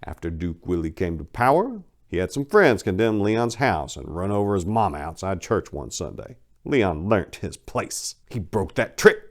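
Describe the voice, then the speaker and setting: A man's voice: deep voice, very animated, normal speed. A man giving a dramatic scripted reading of gritty crime fiction as narrator, with streetwise vernacular. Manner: profane Southern street vernacular.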